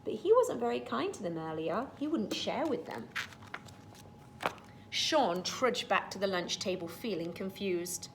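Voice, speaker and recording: A woman's voice: mid-range voice, very animated, measured pace, noisy background. A woman teacher reading a storybook to young children, stopping to explain things in simple words. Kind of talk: storybook read-aloud with explanatory asides.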